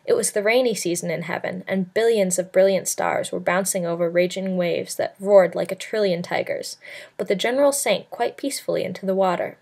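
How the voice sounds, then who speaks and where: A woman's voice: fairly low pitch, brisk, gravelly, darkish tone. Woman reading a story aloud. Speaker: amateur audiobook reader.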